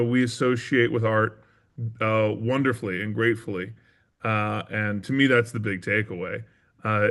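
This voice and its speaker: A man's voice: medium pitch, a bit bright. A man being interviewed as a theatre maker, speaking in an earnest, reflective way and feeling out his phrasing.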